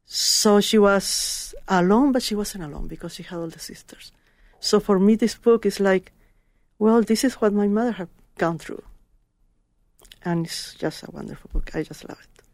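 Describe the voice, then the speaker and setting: Gravelly woman, earnest. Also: medium pitch, normal speed, darkish tone, no echo. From Chile. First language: Spanish. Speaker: guest. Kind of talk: heartfelt personal testimony.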